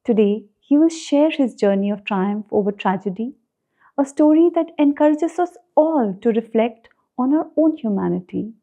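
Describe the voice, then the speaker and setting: A woman's voice: on the high side, lively intonation, moderate pace, dark tone. A woman hosting an interview podcast, reading a polished, upbeat introduction and asking questions. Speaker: podcast host.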